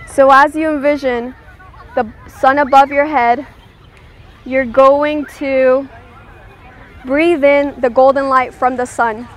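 A woman leading a guided meditation, giving gentle, soothing instructions and checking in with her listeners. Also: high voice, steady tone, slow, long pauses, some chatter behind.

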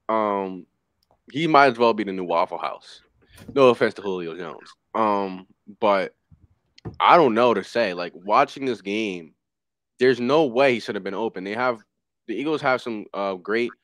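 A young man with a lowish voice talks football casually over a video call headset, rambling and full of fillers. The sound is dry and close, with no room around it. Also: fairly bright tone, no echo. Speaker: panelist. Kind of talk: casual sports chat.

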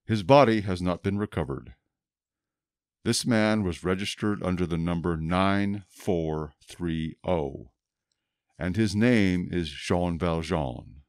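An actor playing a stern, obsessive police inspector in a radio drama, speaking in a measured, formal, deliberate way. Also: male voice, low-pitched, a little mellow, no room sound, clean studio sound. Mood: cold finality. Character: stern, obsessive male police inspector dictating his notebook.

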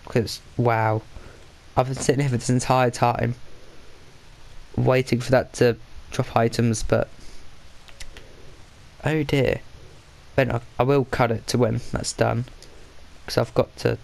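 Guy with a stuffy blocked nose chatting thru his game, gravelly, mid pitch, keeps correcting himself. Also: flat delivery, slow, long pauses, a little mellow. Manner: rambling, self-correcting, mutters "oh dear". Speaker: gaming channel host. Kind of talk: casual gameplay commentary.

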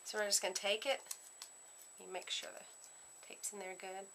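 A woman with a crisp, bright voice walks through a craft project at her worktable in a relaxed, chatty way. She talks while busy with her hands. A soft hiss sits under the recording. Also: mid-range voice, moderate pace. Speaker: craft tutorial host. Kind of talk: casual step-by-step craft demonstration.

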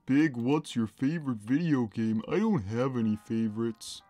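A man voicing a huge, gentle, simple-minded cat who talks plainly and innocently on a gaming livestream. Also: mid-range voice, dry recording, natural voice. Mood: content. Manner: plain, slow, naive, reads chat messages aloud. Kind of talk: in-character gaming livestream chat.

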